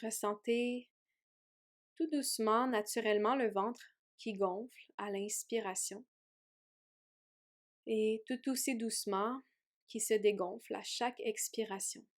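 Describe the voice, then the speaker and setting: Woman yoga teacher, higher-pitched voice, very slow pace, gentle, soothing, guided meditation, long pauses. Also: steady tone. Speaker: yoga teacher guiding a relaxation.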